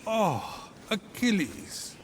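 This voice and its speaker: A vain god-king with a high, wildly swooping voice. He is flippant, whiny and theatrical, an impatient comic ruler in a fantasy video game. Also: sing-song, extremely slow, a little hiss or hum, heavily acted. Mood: wounded, petulant. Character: Zeus, vain, impatient, petulant king of gods.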